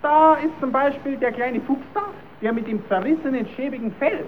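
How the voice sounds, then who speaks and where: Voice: sits high, normal speed, lightly acted. A performer playing a cheeky puppet clown who stammers as he spins tall tales for children in a German radio play. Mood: eager. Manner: stammering, repeating himself, improvising tall tales. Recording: tinny, narrowband, hissy old radio recording.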